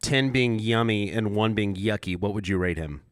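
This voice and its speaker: A man's voice: on the low side, moderate pace, no echo. A man co-hosting a podcast with casual, dryly joking banter. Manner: dry, joking. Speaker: podcast co-host.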